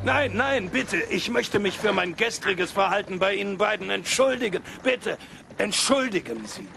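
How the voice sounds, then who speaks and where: A man playing an excitable old miser turned generous in a German cartoon dub, theatrical and given to exclaiming. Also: high-pitched, moderate pace, vocal fry, faint music behind, full character voice. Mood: warm, pleading.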